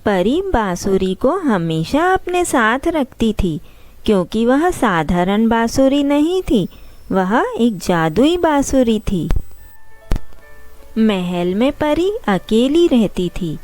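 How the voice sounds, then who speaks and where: A woman narrates a children's story in a high voice. Her delivery is slow and scripted, with faint music behind her. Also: sing-song, a little mellow, no room sound.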